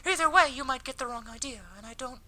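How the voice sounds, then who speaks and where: A man voicing a kind, earnest high school girl, politely apologetic and gently teasing, in a visual novel. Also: quick pace, exaggerated voice. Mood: earnest, encouraging. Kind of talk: visual novel character read aloud.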